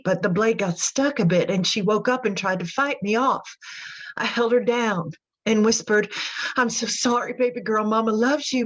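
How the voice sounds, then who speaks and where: A woman playing a haunted Southern mother in a radio drama monologue, telling her confession in a folksy, intense, deliberate way. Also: a little high, natural voice, low-quality recording. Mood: tender, anguished.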